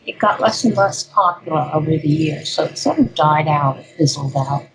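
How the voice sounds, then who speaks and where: An older woman, a guest speaker, talking through an interview in an unhurried, rambling way with plenty of fillers. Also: sits low, expressive, a loud crowd, low-quality recording. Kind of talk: conversational interview.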